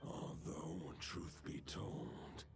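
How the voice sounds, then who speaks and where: An ancient wolf spirit from a video game, solemn and regal. The voice sits very low and crackles with gravel. He speaks slowly, in grave, archaic, formal sentences. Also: over loud music, full character voice. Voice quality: deep gravelly growl. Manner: slow, archaic formal phrasing. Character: ancient solemn male wolf spirit, guardian king of wolves.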